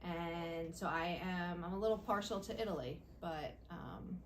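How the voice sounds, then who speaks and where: A middle-aged woman, a school principal, answering interview questions in a measured, explanatory way. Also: on the low side, a little hiss or hum.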